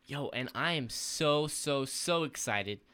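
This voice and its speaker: A man's voice: medium pitch, expressive, takes it slowly, sharp tone, smooth. A young man co-hosting a podcast, chatting casually in an upbeat, joking way. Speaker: podcast co-host. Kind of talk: casual chat.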